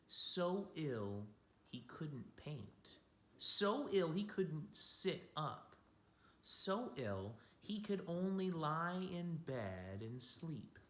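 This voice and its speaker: A man giving an expressive read-aloud of a picture book for children, like a teacher speaking to young listeners. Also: fairly high pitch, drawn-out words. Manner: addresses young listeners with simple asides and questions. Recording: roomy, echoing room sound.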